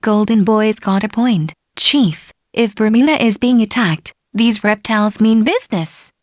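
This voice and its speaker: A woman's voice: medium pitch, lively intonation, measured pace, no room sound, natural voice. A woman playing a serious officer who advises her chief in scripted dramatic dialogue. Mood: serious, concerned.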